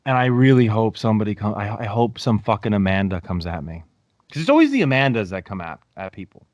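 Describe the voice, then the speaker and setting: A man's voice: on the low side, big pitch swings, fast, darkish tone, no room sound. A man hosting a podcast, chatting casually and joking, swearing freely. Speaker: podcast host. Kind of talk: casual profane chat.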